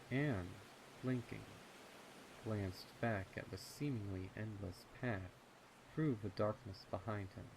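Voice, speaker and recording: A man reading scripted dark fiction as narrator, in a measured, deliberate manner. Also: lowish voice, vocal fry. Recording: noticeable ambient noise behind the voice. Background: ambient sound effects.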